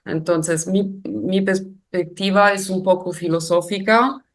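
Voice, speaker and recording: This is a woman with an Italian accent delivering a philosophical webinar talk in a language that is not her first. Her voice sits fairly low and she moves very slowly. She weighs each phrase, often hesitating and restarting as she searches for the word. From Italy. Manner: slow, careful, reflective, with hesitations. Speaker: guest lecturer. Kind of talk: webinar lecture.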